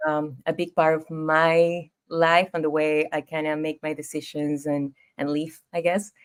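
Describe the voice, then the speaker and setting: Woman, Ecuadorian Spanish accent, low voice, expressive, chatty podcast guest. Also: unhurried, smooth. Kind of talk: casual interview storytelling.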